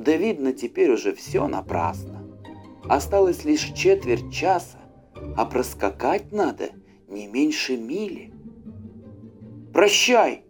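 A man narrating a fairy tale as an audiobook, with an expressive, dramatic storyteller's delivery. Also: mid-range voice. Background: faint music.

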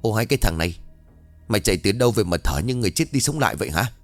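A man voicing an older village fishmonger woman who gossips and scolds in brash, quick market talk. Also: fairly low pitch, lively intonation, faint music behind. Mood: scolding.

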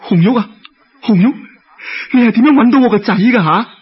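Man acting a desperate scholar, voice pitched high. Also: animated, fairly quick, breathy edge, lo-fi sound, heavily acted. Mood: astonished, overjoyed. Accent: Cantonese. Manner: pleading, wailing, emphatic. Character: wronged, desperate poor male scholar pleading innocence.

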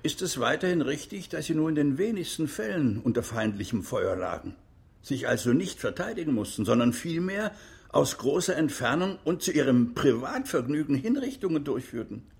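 An actor playing a relentless, accusing prosecutor in a radio drama, pointed and insistent. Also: male voice, a little high, very animated, normal speed, vocal fry, a little mellow. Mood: probing. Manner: pointed, insistent cross-examining. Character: relentless, accusing male prosecutor at a war crimes trial. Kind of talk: German radio drama acting.

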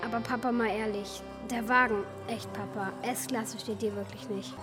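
An actress plays a teasing teenage girl who doubts whatever she is told. Her voice is high and her speech colloquial. It is a radio play with prominent music behind her. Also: steady tone, normal speed, natural voice. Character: cheeky, sceptical teenage daughter. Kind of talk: German radio drama acting.

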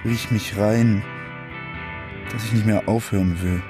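An actor playing a rough working-class young man in a German radio play, telling a tender memory in clipped, colloquial sentences. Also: on the low side, steady tone, unhurried, lightly acted. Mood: wistful, plain. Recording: music behind the voice.

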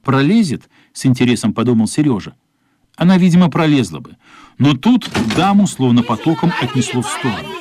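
An actor narrating a children's adventure story as a scripted radio play. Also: male voice, mid-range voice, big pitch swings, fairly quick, a crowd in the background, background noise, close to an everyday voice.

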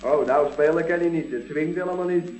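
An actor playing a cocky young cousin in an old radio comedy, with a flippant, dry manner. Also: male voice, a little high, brisk, a little mellow, faint music behind, natural voice. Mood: dry, cheeky. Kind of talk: 1960s-70s Dutch radio comedy acting. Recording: dull, roomy old radio recording.

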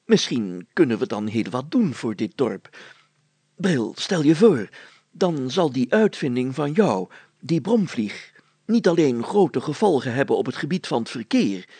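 A self-important old-fashioned village mayor from a storybook, acted out by a man, with a husky, creaky voice. He hems and deliberates, and his pitch swings widely as he makes his pronouncements. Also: sing-song, dark tone, no room sound, heavily acted. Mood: assured, self-important. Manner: halting, hemming, then solemnly declaiming archaic text.

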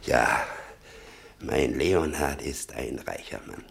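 An actor playing an embittered, doting old father in a radio drama, gravelly and rambling, halting and repeating himself as he broods. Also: rumbling low, heavily acted. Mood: remorseful, obsessive. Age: older. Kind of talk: German radio drama acting.

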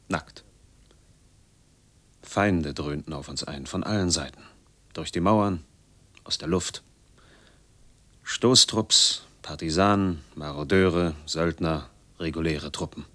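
A man's voice: rumbling low, a bit bright, heavily acted. An actor playing an embittered man in his forties who has fled from noise, delivering a brooding radio drama monologue in clipped, emphatic fragments. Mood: grim, hushed. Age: middle-aged.

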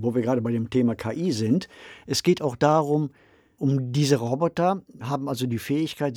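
A man co-hosting a discussion, deliberately setting out ideas and questions in a semi-prepared way. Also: medium pitch, darkish tone, dry recording, clean studio sound. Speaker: podcast co-host posing questions.

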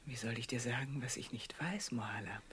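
An actress playing a veiled, seductive and secretive mistress in a classic German radio drama, intimate and evasive, often sinking into whispers. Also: female voice, extremely low pitch, a little fast, a bit bright, slightly rough, heavily acted. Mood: evasive, pleading.

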